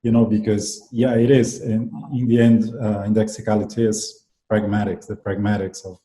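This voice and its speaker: A man's voice: lowish voice, flat delivery, moderate pace, mellow, a little hiss or hum. A man acting as the interviewer in an academic conversation, responding and putting questions to his guest. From Brazil. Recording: roomy, echoing sound.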